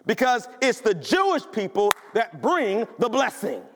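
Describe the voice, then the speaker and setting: A man's voice: high voice, sing-song, unhurried, a bit bright, slight background noise. A male pastor delivering a sermon in a church, talking in an emphatic, conversational way and asking the congregation questions. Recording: long hall reverb.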